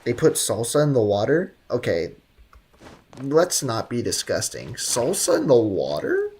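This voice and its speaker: A man's voice: mid-range voice, huge pitch swings, unhurried, a little mellow, breathy edge. A man live streaming a video game, chatting casually with viewers in a slangy, joking, rambling way.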